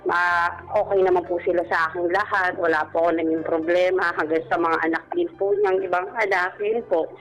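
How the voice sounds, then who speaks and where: A woman's voice: lowish voice, fairly even intonation, fairly quick, hardly any pauses, a bit bright, low-quality recording. A Filipino woman speaking politely and deferentially as a radio caller, telling a personal story in a casual interview. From Philippines. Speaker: radio show caller, former overseas domestic worker. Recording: music bed behind voice. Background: background music.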